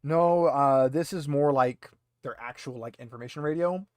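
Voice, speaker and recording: A man's voice: mid-range voice, normal speed, a little mellow, dry recording, clean studio sound. A man acting as game master, narrating a tabletop roleplaying game in a conversational, hesitant way.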